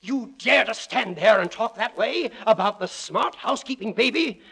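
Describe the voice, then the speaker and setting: A man's voice: high-pitched, lively intonation, moderate pace, gravelly, bright, forceful tone, breathy edge, heavily acted. A man playing a pompous, blustering magazine publisher in 1940s radio comedy style, easily outraged and declamatory. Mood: furious, appalled.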